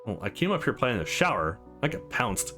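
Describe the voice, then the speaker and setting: Wry young man as a male reader plays him, sarcastic, very animated pitch, game dialogue, faint music. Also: brisk.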